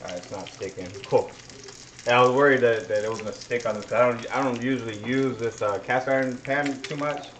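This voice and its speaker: A man talks informally in a mid-pitched voice while he cooks at home. His delivery is halting, as if thinking aloud. The sound is roomy, with plenty of kitchen noise behind him. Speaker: host of a home cooking livestream. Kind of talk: casual chat while cooking. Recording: echoey kitchen, noisy with sizzling pan.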